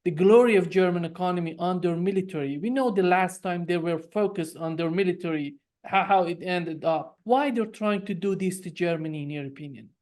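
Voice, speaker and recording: Host asking careful questions, mid voice, steady. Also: mid-range voice, fairly quick, few pauses. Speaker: interview host. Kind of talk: interview questions.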